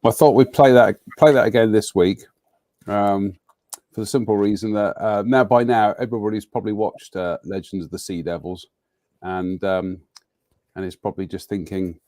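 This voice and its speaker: A man's voice: fairly low pitch, fairly even intonation, normal speed, warm tone, dry recording, clean studio sound. A man hosting a live chat show over a video call, talking in a chatty, wry and opinionated way. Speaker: host. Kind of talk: casual live-stream chat.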